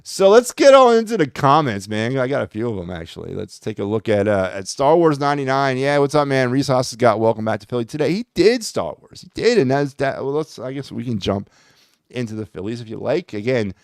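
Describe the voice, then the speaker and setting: A man's voice: medium pitch, very animated, fairly quick, no echo, clean studio sound. A man hosting a solo sports podcast, talking in a rambling, blunt and sarcastic way with lots of fillers. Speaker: podcast host.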